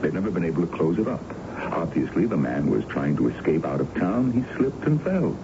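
A man playing a suave, condescending colonel in a radio drama, with a smooth, theatrical manner. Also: sits low, big pitch swings, fairly quick, noisy background. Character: suave, dismissive colonel courting a lady. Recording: dull old radio broadcast with music.

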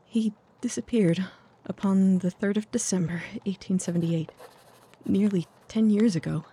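A woman's voice: mid-range voice, normal speed, dark tone, a little hiss or hum, lightly acted. A woman playing a composed but anxious young Victorian lady in an audio drama, speaking formally and earnestly. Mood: sorrowful.

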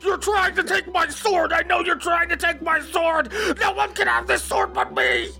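A man's voice: extremely high pitch, big pitch swings, moderate pace, pushed and bright, faint music behind, voice pushed up, heavily acted. A man voicing a pompous, furious frog king who rants about his sword. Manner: blustering, ranting.

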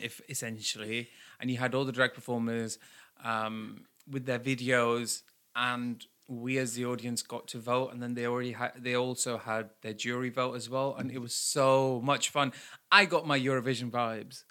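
A man's voice: mid-range voice, level tone, moderate pace, bright, forceful tone, clear, resonant tone. A man in his thirties co-hosting a casual, bantering chat with his partner in a playful, campy, mock-dramatic way. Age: 30s. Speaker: co-host.

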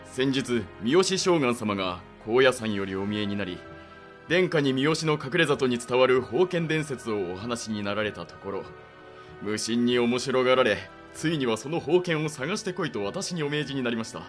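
A man's voice: mid-range voice, lively intonation, moderate pace, faint music behind, lightly acted. A man playing a suspicious samurai official in a Japanese period audio drama, speaking formally and pressing like an interrogator. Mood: formal, probing.

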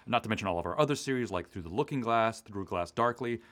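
A man's voice: fairly low pitch, lively intonation, fast talker, fairly bright tone. American man, podcast co-host, very varied intonation, articulate, explanatory, conversational delivery. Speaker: podcast co-host and audio drama writer.

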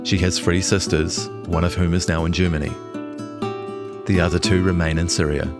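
A man's voice: low voice, flat delivery, normal speed, loud music behind the voice. A man reading a scripted longform news feature as a journalist narrator.

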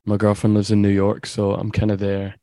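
A young Scottish man with a low, flat voice chats casually as a guest. He talks in a laid-back way and his speech wanders a little. The sound is dry and close. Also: brisk, dry recording. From Scotland. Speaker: musician guest. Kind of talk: casual interview chat. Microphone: video call.

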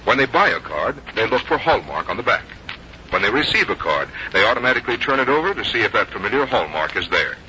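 An American man working as a radio announcer, reading scripted 1950s copy in a polished, measured way. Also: fairly low pitch, big pitch swings, pushed and bright, slight background noise. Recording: dull vintage radio recording with music.

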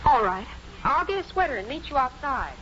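A spirited young farm daughter, bright-voiced and animated, briskly explaining. The 1950s radio drama recording sounds dull. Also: female voice, high-pitched, a little hiss or hum. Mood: polite. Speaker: radio actress.

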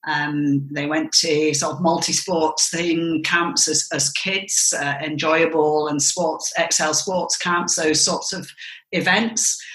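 A middle-aged woman from England talks as a podcast guest, her voice bright and forward. She tells her stories in a measured, reflective way, sprinkling in fillers. The recording carries a noticeable roomy echo. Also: low-pitched. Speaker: interview guest, mother of cricketers. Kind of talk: conversational podcast interview. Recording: roomy echoey sound.

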